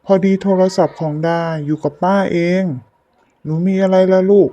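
A woman playing a kindly, grave older aunt in a cartoon ghost story, talking gently as if to a child. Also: mid-range voice, fairly even intonation, normal speed, highs cut off, natural voice. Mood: gentle, serious. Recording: music behind the voice.

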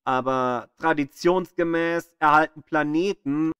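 A man reading scripted educational narration in a measured, storytelling way with dramatic emphasis. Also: on the high side, fairly bright tone, clear, resonant tone, no room sound, clean studio sound. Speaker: narrator of an audio tour of the solar system. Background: music.

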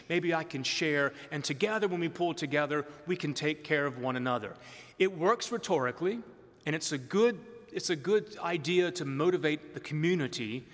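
A middle-aged man preaching a sermon as a priest, explaining in a measured way with emphatic stresses, from the pulpit of a large stone church. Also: medium pitch, a bit bright. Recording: long church reverb.

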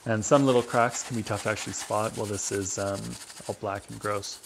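A man's voice: lowish voice, steady tone, a little fast, background noise. A man giving a casual, matter-of-fact instructional voice-over as a hands-on mechanic.

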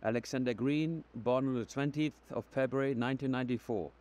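An actor playing a calm, matter-of-fact male police officer asking probing questions in a video game. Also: mid-range voice, fairly quick, lightly acted.

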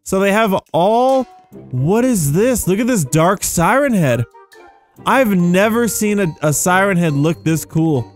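A young man giving casual, slangy, excitable gameplay commentary to viewers. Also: sits high, a little slow, faint music behind. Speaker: gaming video host.

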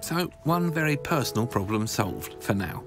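Male documentary narrator, gravelly, wry delivery over light music. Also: mid-range voice, very animated, moderate pace.

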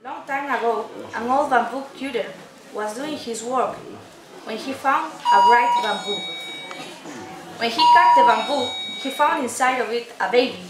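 A woman's voice: a little high, unhurried. A young woman reading a folktale narration aloud from a script for a puppet show. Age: young adult. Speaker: student narrator. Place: indoor room at a day care centre. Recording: echoey room, clatter of crowd and dishes.

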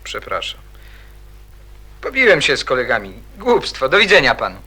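A man's voice: medium pitch, huge pitch swings, normal speed, pushed and bright, slightly rough, full character voice. An actor playing a proud, troubled teenage boy in a radio drama, terse and defensive or earnestly pleading. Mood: guarded, curt. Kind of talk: Polish radio drama acting.

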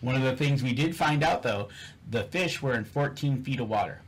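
A man's voice: medium pitch, animated, normal speed, breathy edge. A man casually recounting a fishing trip over photos, rambling with many fillers and repeated words.

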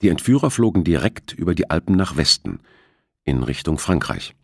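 A man with a husky voice reading a thriller novel as an audiobook narrator. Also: low voice, sing-song, normal speed, no room sound, studio quality.

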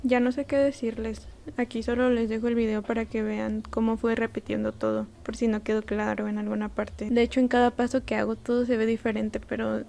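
Young woman artist, relaxed chatty voice-over, fairly high pitch. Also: fairly quick, vocal fry, faint music behind. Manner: relaxed, chatty, self-deprecating. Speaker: artist narrating a painting process. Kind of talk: casual voice-over narration.

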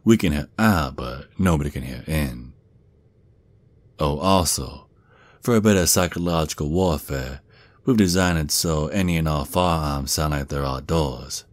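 A man's voice: very deep voice, unhurried, dry recording. A man playing a menacing, mocking, protective werewolf father with a Southern US accent, drawling and taunting in an ASMR roleplay. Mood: smug, taunting. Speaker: audio roleplay performer.

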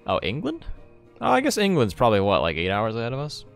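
A man's voice: mid-range voice, huge pitch swings, quick pace, vocal fry, faint music behind. A man streaming a game, chatting casually in an informal, playful manner. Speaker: streamer and gamer. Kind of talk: casual chat while gaming.

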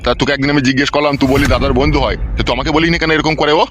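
A man's voice: fairly high pitch, steady tone, racing, heavy background noise, close to an everyday voice. A man playing a stern, crude older village uncle in a comic cartoon, blunt and bossy. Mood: angry, scolding. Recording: music under the voice.

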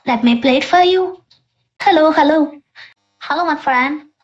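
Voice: sits high, a little slow, faint music behind. A person speaking as a young girl through an AI voice changer, casually testing a microphone. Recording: dull, processed voice-changer audio.

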